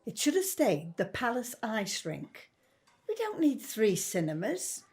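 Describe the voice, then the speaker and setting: A woman's voice: a little high, sing-song, a little slow, a little mellow. An actress playing a fretful, grumbling middle-aged working-class mother in a 1950s family audio drama. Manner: grumbling, worrying.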